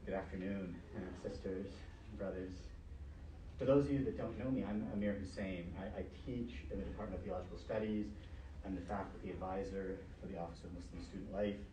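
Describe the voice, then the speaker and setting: Deep-voiced man preaching in echoey hall. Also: fairly even intonation, brisk, mellow. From Pakistan, grew up in Canada. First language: Urdu. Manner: conversational, many fillers and restarts. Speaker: professor giving a Friday sermon. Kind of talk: university Friday sermon. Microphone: speaking through a face mask. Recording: echoey room, mic far off, noisy background.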